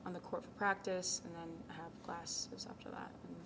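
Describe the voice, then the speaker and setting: A young woman from Ghana speaks in a low, fairly flat voice. She answers interview questions casually and softly, full of ums, and sometimes trails into a near whisper. Clear background noise sits under the recording. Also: normal speed. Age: young adult. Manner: soft-spoken, many ums, drops to near whispers. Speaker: college tennis captain. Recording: noisy background.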